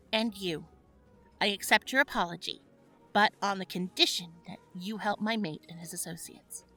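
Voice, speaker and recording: A stern, bossy wife of the night creatures in an audio drama. Her voice is bright and forceful, brisk and commanding yet gracious, with faint music behind. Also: on the low side.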